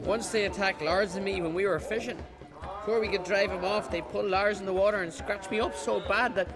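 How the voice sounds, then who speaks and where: A man's voice: high voice, steady tone, fairly quick, poor audio. An actor playing a boastful, bickering islander fisherman in a video game, mouthy and indignant.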